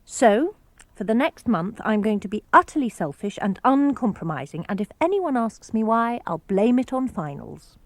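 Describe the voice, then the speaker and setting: A woman narrating and reading diary entries aloud for a radio broadcast, in a clear, composed manner. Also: on the high side, very animated, moderate pace, no room sound. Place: radio studio.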